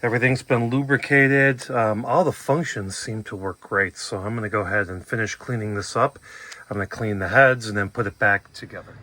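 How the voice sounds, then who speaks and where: A man casually talking through a tape machine repair at his workbench, plain and unhurried, with fillers. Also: mid-range voice, bright, forceful tone. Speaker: repair vlogger. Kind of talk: casual workshop narration.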